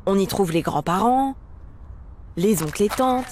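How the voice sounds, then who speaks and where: A woman's voice: medium pitch, lively intonation, unhurried, a crowd in the background, natural voice. A woman voicing a friendly, patient female dinosaur who explains things cheerily in a children's educational cartoon. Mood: patient, explaining.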